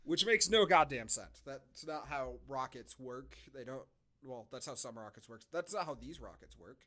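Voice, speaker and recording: Guy on a movie podcast, bright clear-cut voice, sarcastic and sweary, just chatting. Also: medium pitch, expressive, moderate pace. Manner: sarcastic, profane. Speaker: podcast co-host. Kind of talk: casual film review chat.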